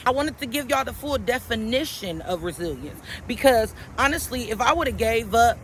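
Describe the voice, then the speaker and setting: Woman vlogger, high and bright, loud and slangy, swearing, shot outdoors on camera audio with faint traffic behind. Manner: animated, slangy, swears freely, talks straight to viewers. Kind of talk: casual motivational vlog. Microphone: camera without microphone.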